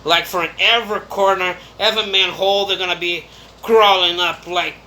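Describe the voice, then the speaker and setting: A man's voice: high voice, fairly even intonation, moderate pace, bright, forceful tone, slight background noise, low-quality recording. A man from Brazil with a Brazilian Portuguese accent, speaking English as a second language in a rambling, chatty vlog monologue. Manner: rambling, chatty, with restarts and laughs. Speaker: vlogger. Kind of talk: casual monologue to camera.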